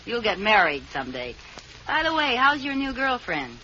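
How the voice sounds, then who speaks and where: A bubbly young housewife in an old radio sitcom, coaxing her way toward a stage part. Her voice is bright and sits fairly high, swinging widely up and down. The old transcription sounds dull, with faint hiss underneath. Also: big pitch swings. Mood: chatty. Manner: bubbly, coaxing, quick with a comeback. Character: scheming, spirited young housewife set on acting. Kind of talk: 1940s radio comedy acting.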